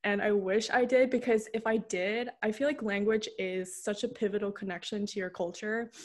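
A woman's voice: medium pitch, steady tone, normal speed. Young American woman interviewing casually. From United States. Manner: informal, giggly, frequent "like". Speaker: podcast interviewer.

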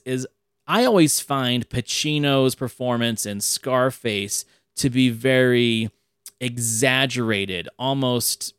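A man's voice: mid-range voice, smooth, no room sound, studio quality. A man hosting a podcast, talking casually about an old film and sometimes acting out lines in a raised voice. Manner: animated, acts out quotes in a raised voice. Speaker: podcast host.

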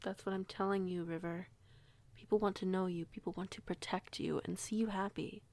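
A woman playing a young, kindly, protective werewolf pack alpha in an audio roleplay, measured and gently authoritative. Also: medium pitch, fairly even intonation, close to an everyday voice. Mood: calm, firm.

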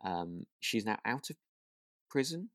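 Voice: medium pitch, big pitch swings, a little slow, no echo, clean studio sound. A podcast co-host chatting casually about a radio drama, hesitant and thinking aloud.

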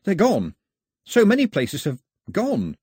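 A man acts out a young storybook seafarer, anxious and earnest. The pitch is raised and lively over a husky tone as he pleads. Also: slow pace, no room sound, clean studio sound, heavily acted. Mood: grieving, anxious. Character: anxious, pleading young male canoe traveller.

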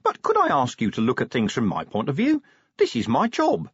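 A man's voice: mid-range voice, wildly swooping pitch, brisk, a bit bright, slightly rough, dry recording, muffled recording, voice pushed up, full character voice. A man voicing a small, chirpy imp from a gadget in a storybook, eager to please, pleading and officious. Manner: pleading, officious, recites like a machine.

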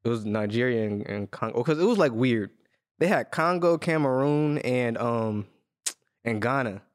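A man's voice: medium pitch, animated, moderate pace. A man co-hosting a casual podcast chat, rambling with many fillers and restarts. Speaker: podcast co-host.

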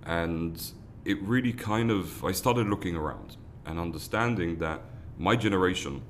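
Deep-voiced earnest man. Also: normal speed, slight background noise. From London, England. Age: 30s. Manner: earnest, many restarts and repeated words. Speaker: foundation founder, guest. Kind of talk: earnest interview answers.

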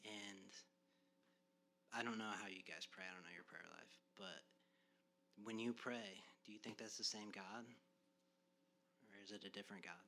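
Bright-voiced man musing casually. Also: on the low side, fairly even intonation, moderate pace, long pauses, slight background noise. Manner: earnest, rambling with many fillers. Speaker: podcast host. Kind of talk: casual conversation.

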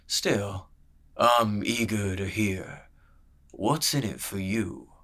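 A man voicing a soft-spoken menacing spymaster in his fifties. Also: lowish voice. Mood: cold. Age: 50s. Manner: slow, quiet murmur with veiled threat. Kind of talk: audiobook character voice.

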